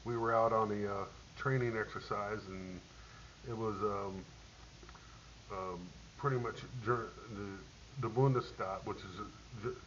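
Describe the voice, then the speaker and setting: A middle-aged veteran man tells a rambling personal war story in a low, unhurried voice, halting often with ums and restarts. The recording is dull and lo-fi, with a faint hiss under it. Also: steady tone, a bit bright.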